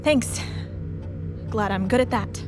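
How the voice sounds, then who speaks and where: An actress playing an irreverent, jaded war veteran astronaut in a radio play, joking in a playful deadpan. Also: female voice, fairly high pitch, takes it slowly, music in the background. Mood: wry, uneasy.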